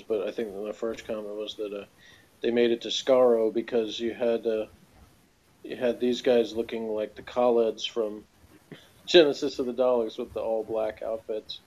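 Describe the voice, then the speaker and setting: A man's voice: fairly low pitch, darkish tone, low-quality recording. A man giving his opinions as a guest in a casual review discussion, rambling and hesitant, over an online call. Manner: rambling, hesitant, frequent "you know". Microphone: video call. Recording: faint background noise on online call.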